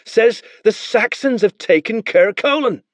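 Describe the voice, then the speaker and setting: A man voicing a rough, cruel soldier who mocks a captured boy. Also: high voice, lively intonation, a little slow, no echo, pitched above the performer's own voice. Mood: mocking. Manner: snarling, mocking. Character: rough, cruel male spearman.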